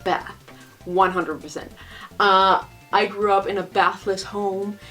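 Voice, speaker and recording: A woman's voice: mid-range voice, flat delivery, takes it slowly, bright, forceful tone, soft background music. Chatty young Danish woman. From Denmark. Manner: chatty, rambling, many fillers and laughs. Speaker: vlogger. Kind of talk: casual Q&A vlog.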